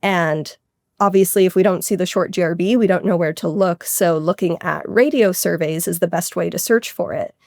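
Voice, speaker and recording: Low-pitched woman scientist, keen and informal. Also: normal speed, vocal fry, darkish tone, dry recording, clean studio sound. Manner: keen, informal, technical with frequent hedging asides. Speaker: interview guest, research astronomer. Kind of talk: enthusiastic science interview, conversational explaining.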